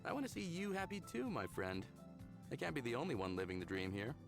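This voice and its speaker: An actor voicing an upbeat, smitten young man in a visual novel game, speaking in an earnest, casual, expressive way. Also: medium pitch, quick pace, vocal fry. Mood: happy, eager. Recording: background music under the voice.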